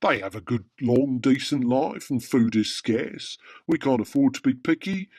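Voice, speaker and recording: Radio-drama Santa played by a man: immortal, weary, wryly deadpan saint. Middling pitch, measured delivery, dry close sound. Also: no room sound. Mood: weary, matter-of-fact. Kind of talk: radio drama dialogue in comic pantomime.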